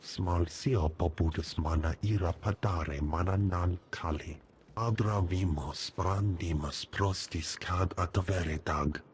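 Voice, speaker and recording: Alien, deep voice, slow delivery, solemn, invented language, video game. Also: male voice, a little hiss or hum.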